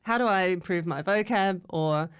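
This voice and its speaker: Female teacher guest, lowish smooth voice, reflective and explanatory. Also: normal speed. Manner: thoughtful, explanatory, laughs often. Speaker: English teacher. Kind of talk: casual podcast chat.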